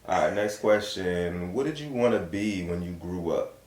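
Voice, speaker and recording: A man's voice: low voice, lively intonation, moderate pace, a little mellow. A man in his thirties from Virginia, the host's husband, who teases and jokes in a dry, easygoing way. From Virginia, USA. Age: 30s. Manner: dry, teasing and joking. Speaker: co-host husband. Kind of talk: casual joking chat. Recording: echoey room.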